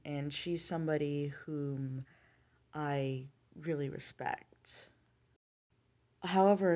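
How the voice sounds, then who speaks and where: A woman in her late twenties with a low-pitched voice, talking earnestly through her views in a casual vlog, measured and pausing to think. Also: warm tone. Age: late 20s. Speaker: vlogger.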